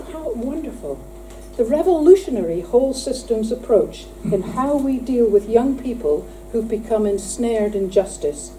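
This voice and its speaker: Dark-voiced woman addressing a conference hall. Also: on the high side. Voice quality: loud, projecting voice. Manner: earnest, emphatic, with dry asides. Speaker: conference speaker opening the event. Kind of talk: formal welcome speech with light humour. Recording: distant mic in a hall with faint hum.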